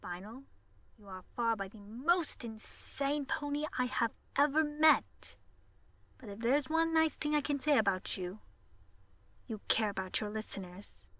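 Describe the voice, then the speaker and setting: A woman's voice: fairly high pitch, lively intonation, unhurried, pushed and bright, no room sound. A woman playing a prim, exasperated female pony in an audio drama, speaking in a formal, haughty, scolding manner. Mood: grudging. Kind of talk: fan audio drama acting.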